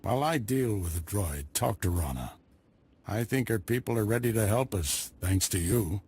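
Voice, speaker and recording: An actor playing a calm, stern male Jedi master who speaks in a measured, instructive way, in a game cutscene. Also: on the low side, slight background noise. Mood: reflective.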